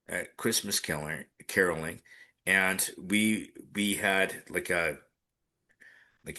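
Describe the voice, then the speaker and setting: A man with a very deep voice, telling a story on a casual podcast with many restarts and fillers. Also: a bit bright. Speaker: podcast co-host. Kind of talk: casual chat.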